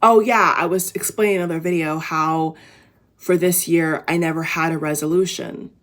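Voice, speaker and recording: Low, raspy, tired woman vlogger. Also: fairly even intonation, normal speed. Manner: rambling, many fillers and restarts. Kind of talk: casual inspirational talk to camera.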